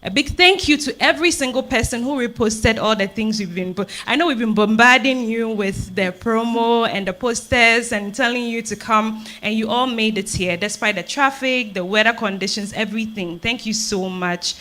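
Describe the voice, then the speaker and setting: Bright-voiced Ghanaian woman speaking on stage. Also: fairly high pitch, moderate pace, few pauses. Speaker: theatre director thanking the audience. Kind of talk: informal thank-you speech on stage.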